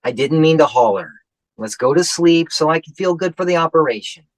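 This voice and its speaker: Male actor: cranky hollering husband, old-time radio comedy, lively pitch. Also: brisk, dry recording, close to an everyday voice, low-quality recording. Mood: grumbling. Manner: exasperated, complaining, hollering. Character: grumpy sleepy husband in hospital. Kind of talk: comic radio play acting.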